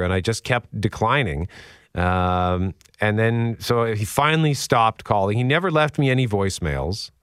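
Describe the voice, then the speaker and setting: A man with a deep voice hosting a casual radio show, telling a story in a lively, exasperated way. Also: clean tone, no room sound, studio quality. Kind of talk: casual morning radio chat. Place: radio studio.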